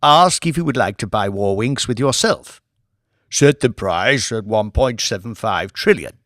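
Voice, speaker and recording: A man voicing a brisk, commanding older lord who runs a bank, in an audiobook. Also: fairly low pitch, lively intonation, fairly bright tone, dry recording, clean studio sound. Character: brisk powerful older male lord, financier.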